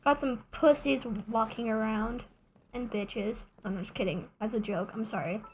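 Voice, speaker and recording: A woman's voice: a little high, measured pace, slight background noise. A young adult woman streaming a game, chatting casually in a rambling, self-mocking way with joking asides. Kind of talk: casual gameplay streaming chat.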